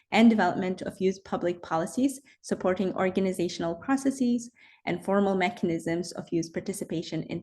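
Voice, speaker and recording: A woman moderating a panel, reading out a speaker's introduction with filler pauses between phrases. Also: medium pitch, darkish tone. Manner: reads a bio with filler pauses.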